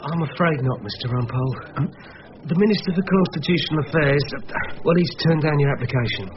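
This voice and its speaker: An actor playing a deferential, polite and apologetic barristers' clerk in a radio drama. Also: male voice, fairly high pitch, brisk, lo-fi sound, slight background noise, close to an everyday voice. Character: deferential barristers' clerk bringing bad news.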